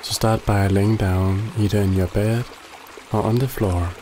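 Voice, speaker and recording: A man guiding a body scan meditation in a calm, gently instructive way with long pauses. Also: lowish voice, slight background noise. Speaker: meditation guide. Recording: loud running water behind the voice. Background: trickling stream water ambience.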